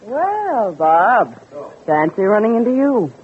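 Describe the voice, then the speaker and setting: An actress playing an insistent, scheming married socialite in a 1940s radio drama, on a muffled vintage recording. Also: female voice, mid-range voice, sing-song, takes it slowly. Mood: coaxing, insistent. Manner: coaxing with lovers, sharp and scolding with husband. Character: insistent, scheming married socialite pursuing her former lover.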